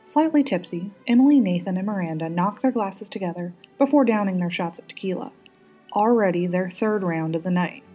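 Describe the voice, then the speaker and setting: A woman narrating a story aloud as a guest reader, with an expressive, teacherly way of addressing listeners. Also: medium pitch, brisk, husky, faint music behind.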